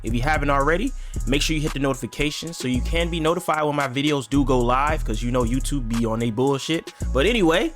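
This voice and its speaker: A man's voice: medium pitch, fast, fairly bright tone, faint music behind. A young man under the weather, chatting casually and slangily about football picks, rambling and repeating himself. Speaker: sports picks vlogger. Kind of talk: casual sports predictions chat.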